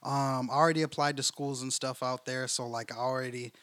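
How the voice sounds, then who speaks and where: A man's voice: mid-range voice, fairly even intonation, a little fast, fairly bright tone. A young man hosting a podcast, upbeat and chatty in casual talk with lots of fillers. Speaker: podcast host. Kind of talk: casual chat.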